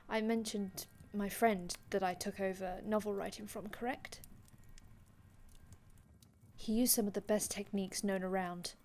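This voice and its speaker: A woman's voice: medium pitch, darkish tone, a little hiss or hum, close to an everyday voice. A woman playing a courteous, ancient vampire healer in an ASMR roleplay, speaking formally and reassuringly with archaic phrasing and small stammers. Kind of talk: ASMR roleplay, addressing the listener.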